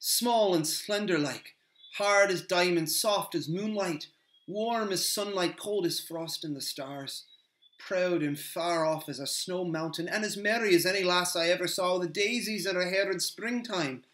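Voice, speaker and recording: Loyal humble hobbit servant, eager and rustic, pitched up, voiced by a man, roomy echo. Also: unhurried, fairly bright tone. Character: humble cheerful male hobbit gardener, loyal.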